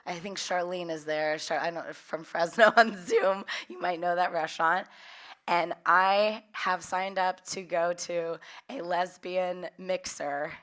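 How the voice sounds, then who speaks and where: A young woman from California's Central Valley, hoarse and a little cracky from recovering a lost voice, leading a workshop with open storytelling and frequent laughter. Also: fairly low pitch, steady tone, a little slow, fairly bright tone. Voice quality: slightly cracky voice. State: hoarse, recovering from losing her voice. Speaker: workshop leader. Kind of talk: personal storytelling talk.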